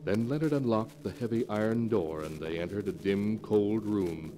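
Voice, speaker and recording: A man performs as a solemn, ominous horror narrator from 1940s radio. His low voice delivers scripted narration with dramatic weight. The old broadcast has clearly audible noise. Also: normal speed, lightly acted. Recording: noisy 1940s radio recording.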